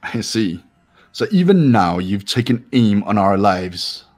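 A man's voice: lowish voice, sing-song, unhurried, a little mellow. A man voicing a haughty, suspicious young student in a visual novel game, talking in a cold, condescending, accusatory way. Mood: accusing.

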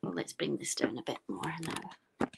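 A woman with a quiet voice, chatting and explaining each step as she demonstrates card making at her craft desk. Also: deep voice, lively intonation, unhurried, gravelly, husky. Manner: chatty, explaining steps while working.

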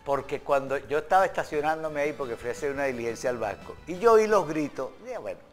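A man's voice: fairly high pitch, lively intonation, fast talker, soft background music. A man in his sixties hosting a TV show from a studio, reading news, then joking his way through ad reads. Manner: jokey, bursts into laughter during ad reads. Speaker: TV host. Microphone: studio mic.

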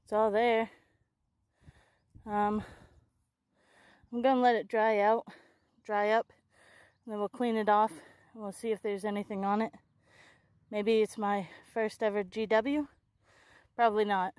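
A woman in her thirties, an excited and chatty metal detecting vlogger, talking casually to camera outdoors in an open farm field. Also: mid-range voice, measured pace, long pauses, clear, resonant tone, no room sound, studio quality. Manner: excited, chatty, self-correcting. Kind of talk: casual outdoor vlog narration. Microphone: handheld or body camera close up.

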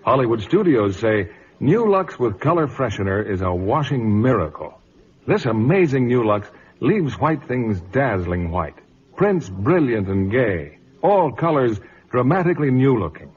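A man announcing a 1950s radio advertisement as an emphatic sales pitch. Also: very animated, measured pace, slightly rough. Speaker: commercial announcer. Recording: muffled vintage radio broadcast.

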